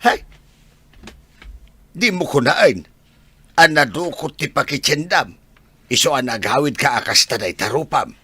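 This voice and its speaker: Man doing a grumpy suspicious old husband, raspy and sharp, very animated, audio drama. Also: medium pitch, measured pace, long pauses, no echo, exaggerated voice. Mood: scolding. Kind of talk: Filipino audio drama dialogue.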